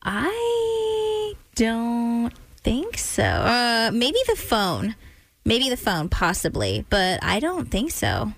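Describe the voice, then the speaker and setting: Late-20s female radio co-host, relaxed and teasing, dry close studio sound. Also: a little high, drawn-out words, dry recording. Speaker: radio morning show co-host. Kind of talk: casual radio chat. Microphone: studio mic.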